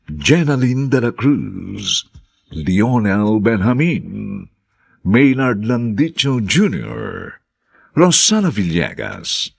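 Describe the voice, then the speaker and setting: A man's voice: on the low side, gravelly, slightly rough, no echo. A man announcing a radio drama, introducing the episode and reading out the credits in a formal, grand style. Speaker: program announcer.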